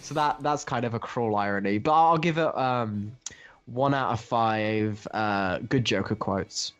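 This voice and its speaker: A man on a podcast panel casually discussing comics, opinionated, halting and rambling as he works out his points. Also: lowish voice, clean tone, dull audio.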